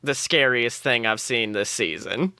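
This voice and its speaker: A man's voice: medium pitch, unhurried, sharp tone, smooth, dry recording. A man co-hosting a podcast, chatting casually and animatedly about a TV show, swearing freely. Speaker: podcast co-host.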